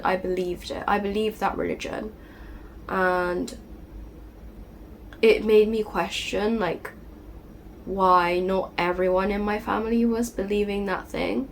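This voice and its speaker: A woman speaks to her channel in an earnest, gentle way, often adding "you know". She is getting over a cold, so her voice sounds slightly off. Her pitch sits at a middle height for a woman, with a faint hiss behind her. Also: rough recording. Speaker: channel host. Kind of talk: personal confessional vlog.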